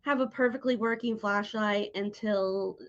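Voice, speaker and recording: A woman's voice: medium pitch, fairly even intonation, unhurried. Dull-sounding recording, woman interviewer. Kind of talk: casual chat. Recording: slightly dull lo-fi audio.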